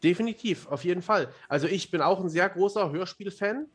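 A man's voice: high voice, a little fast, breathy edge, soft background music. A man, talking at length in a casual interview and explaining things as he goes. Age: 30s. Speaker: fantasy author, podcast guest.

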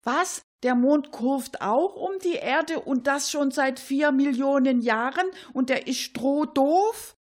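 A woman's voice: high voice, slow, few pauses, a little mellow. A woman playing a gossipy, opinionated Swabian cleaning lady in a comic radio monologue, folksy and mock-naive. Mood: opinionated, chatty. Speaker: cleaning lady character in a satirical radio show.

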